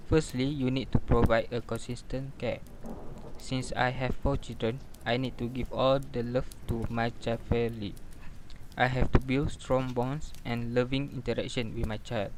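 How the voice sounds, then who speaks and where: A man's voice: medium pitch, background noise, close to an everyday voice. A man playing a dutiful married father in an amateur radio drama, reciting formally in non-native English phrasing. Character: weary, dutiful married father of four in confession.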